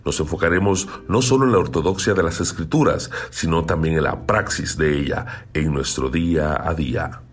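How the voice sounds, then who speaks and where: A man reading a scripted podcast intro in an even, smooth voice-over. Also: extremely low pitch, moderate pace.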